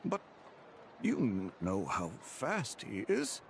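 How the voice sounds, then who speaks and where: An actor playing a flustered young knight patrolman in a video game, reporting in an urgent, halting way. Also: on the low side, huge pitch swings, creaky, slight background noise, heavily acted.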